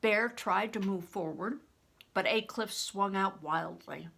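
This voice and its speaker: An older woman reading a children's novel aloud as a storyteller, in expressive, deliberate audiobook narration. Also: mid-range voice, vocal fry, breathy edge.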